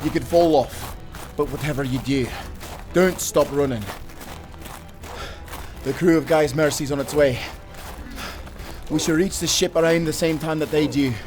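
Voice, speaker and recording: A man's voice: a little high, unhurried, long pauses, darkish tone, close to an everyday voice. An actor playing a loyal young male sailor, urgent and caring, speaking earnestly to a companion in an audio adventure. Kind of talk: cinematic audio roleplay acting.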